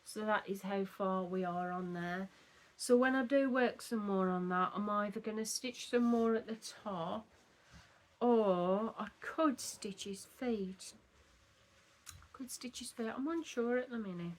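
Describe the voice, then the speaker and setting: A woman with a slightly croaky voice, chatting in a rambling way about her cross-stitch progress at home. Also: mid-range voice, measured pace. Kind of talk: casual chat showing craft progress. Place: at home at a table.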